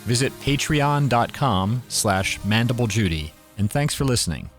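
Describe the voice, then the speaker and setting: A man's voice: medium pitch, lively intonation, moderate pace. A man reading a scripted promotional announcement for a podcast. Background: faint music.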